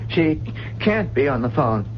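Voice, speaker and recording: A man's voice: mid-range voice, sing-song, normal speed, close to an everyday voice. A man acting in a 1970s radio drama as a meek, bookish fugitive professor, polite, hesitant and stammering. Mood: agitated, insistent. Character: meek, bookish professor on the run, fragile and unraveling. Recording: muffled, noisy, humming vintage radio recording.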